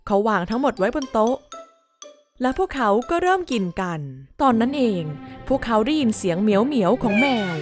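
A woman's voice: a little high, big pitch swings, a little fast, soft background music. A woman narrator reading a scripted bedtime story for children.

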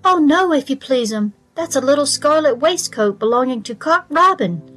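A woman's voice: high voice, expressive, moderate pace, a bit bright, soft background music, pitched above the performer's own voice. A woman voicing a stout little hedgehog washerwoman from a children's storybook, anxious and deferential, with servile, rustic politeness. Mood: eager, deferential. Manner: bobbing, servile politeness with rustic turns of phrase.

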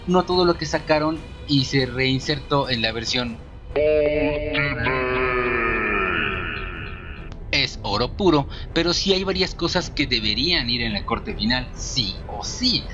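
A man's voice: medium pitch, a bit bright, muffled recording. A Mexican man giving an informal scripted film review in a sarcastic, slangy, opinionated way. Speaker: video reviewer.

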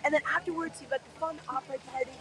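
A young woman with a high voice hosts with bubbly, promotional energy, holding a handheld mic at a snowy ski base outdoors. Faint outdoor noise sits behind her. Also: fairly even intonation, rapid-fire. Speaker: host. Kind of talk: upbeat event interview.

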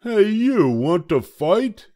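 An older man voicing a small grouchy ladybug in a children's storybook, loud and brash, always spoiling for a fight. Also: high voice, big pitch swings, takes it slowly, no echo, studio quality, voice pushed up, full character voice. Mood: pugnacious.

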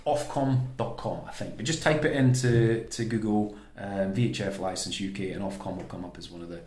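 Low-voiced British man in an echoey room. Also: fairly even intonation, brisk, darkish tone. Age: 30s to 40s. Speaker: host of a how-to sailing channel. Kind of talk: casual unscripted tutorial. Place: small home kitchen or room, indoors. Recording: roomy, echoey indoor sound.

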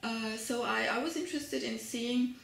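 A woman's voice: fairly high pitch, moderate pace, creaky, roomy sound, slight background noise. A middle-aged woman professor being interviewed, speaking thoughtfully with many fillers and restarts. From Germany. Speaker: translation studies professor, guest. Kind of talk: academic interview.

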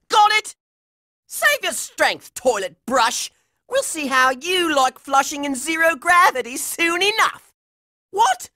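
A man's voice: very high voice, bright, forceful tone, no echo, studio quality, exaggerated voice. A man voicing a brash, hot-headed young boy fighter in a cartoon video game, belligerent and exclamatory. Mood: cocky, then exasperated.

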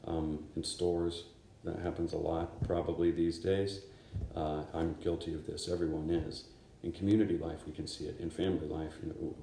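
A man in his fifties, a priest, reading the Gospel and giving a conversational, unhurried homily in a small chapel. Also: very deep voice, mellow, reverberant, slight background noise. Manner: conversational, unhurried preaching with gestures. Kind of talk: homily at daily Mass. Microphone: camera in front of him.

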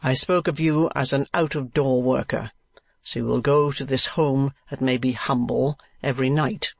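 A stern, impatient uncle with a curt, sarcastic bite, read by a man. His gravelly voice comes close and dry, with nothing around it. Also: medium pitch, fairly even intonation, normal speed, no room sound. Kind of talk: audiobook character reading.

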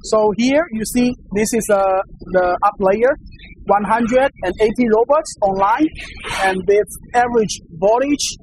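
A man in his thirties speaks accented English, Chinese being his first language, in a fairly high voice as he walks a visitor through a warehouse. He explains steadily but keeps repeating phrases and restarting mid-sentence, filling the gaps with "uh". A lapel mic catches him closely while a faint hum of machinery carries through the large indoor space. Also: unhurried, darkish tone, poor audio. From China. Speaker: company presenter giving a warehouse tour. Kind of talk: guided facility tour explanation.

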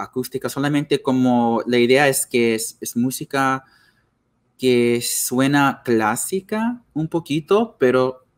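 A young man whose first language is English, talking in a casual interview to camera as a musician, halting with many fillers. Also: mid-range voice.

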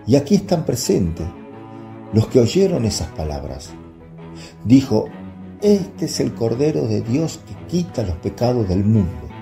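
A man acts out an earnest apostle leader in a radio play, preaching with solemn oratory. The voice is very dark and gravelly, rising and falling widely over music. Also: medium pitch. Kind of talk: radio drama sermon.

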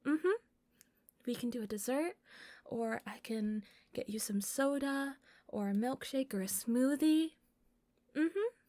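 Woman voicing a shy clingy yandere girl, soft n hesitant, kinda high voice, super slow, roleplay audio. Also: dry recording. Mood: sweet, encouraging. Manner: soft, hesitant, coaxing, breaking into whispers. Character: shy, sweet, obsessively devoted young female yandere captor. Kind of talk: intimate audio roleplay addressed to the listener.

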